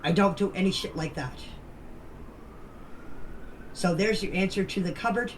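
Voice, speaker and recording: A woman's voice: medium pitch, normal speed. A woman recounting events informally, in a blunt, defensive and emphatic manner. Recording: faintly noisy voice-message recording.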